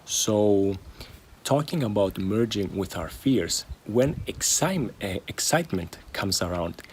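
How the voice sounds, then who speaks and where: A man speaking informally as a video host, sharing personal advice in short, halting phrases with pauses and repeated words. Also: lowish voice, animated, slight background noise. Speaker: video host and stuttering coach.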